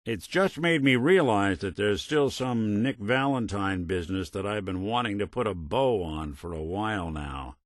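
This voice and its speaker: An American actor playing a world-weary, gruff but decent synthetic private detective in a noir game, with a dry, sardonic manner. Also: male voice, lowish voice, no echo. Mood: reflective. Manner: dry, sardonic, old-time gumshoe slang. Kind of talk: noir hard-boiled detective game dialogue.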